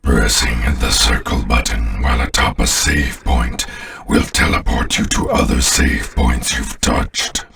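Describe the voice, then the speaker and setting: A sinister alien overlord for a video game, his voice bottomless and full of gravel. He speaks with slow, arrogant menace, as if every listener were a lesser servant. The sound sits back from the microphone. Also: steady tone, fairly bright tone, far from the mic, a little hiss or hum, heavily acted. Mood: commanding. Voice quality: very deep, gravelly. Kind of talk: video game villain voice lines.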